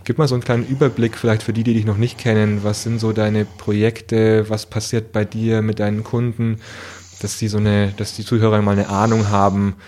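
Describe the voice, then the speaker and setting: Low-voiced man hosting a casual interview. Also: little pitch movement, brisk, a little mellow, slight background noise. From Nuremberg, southern Germany. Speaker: podcast host, interviewer.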